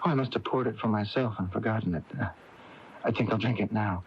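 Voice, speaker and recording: An actor playing a strange, withdrawn, lovesick young man in a radio mystery drama, with a halting, stammering delivery and unsettling intensity. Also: fairly low pitch, close to an everyday voice. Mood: nervous, eager. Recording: dull, noisy off-air radio tape.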